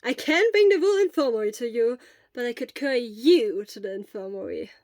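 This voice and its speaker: A woman voicing a loud, eager, caring and energetic fifteen-year-old schoolboy. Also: fairly high pitch, brisk, no echo, clean studio sound, close to an everyday voice. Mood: concerned, eager.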